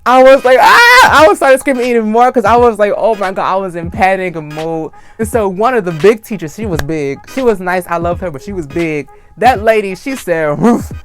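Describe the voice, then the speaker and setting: A young man with a high-pitched voice telling a dramatic personal story, acting out screams and quoting people as he goes. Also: moderate pace, hardly any pauses, soft background music. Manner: animated, acts out screams and quotes. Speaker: storytime vlogger. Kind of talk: casual storytime.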